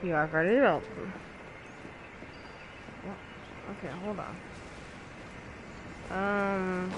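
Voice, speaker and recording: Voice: mid-range voice, background noise. A gamer giving casual gameplay commentary, talking to themselves in short, scattered remarks. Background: game music.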